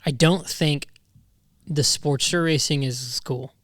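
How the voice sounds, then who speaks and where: A man's voice: fairly high pitch, unhurried, fairly bright tone, dry recording, studio quality. A man chatting casually as a podcast guest, slangy, profane and self-deprecating. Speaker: podcast guest, motorcycle racer.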